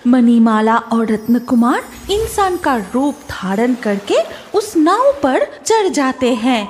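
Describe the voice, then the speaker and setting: A woman's voice: high-pitched, sing-song, takes it slowly, a little hiss or hum. A woman narrating a children's cartoon story from a script.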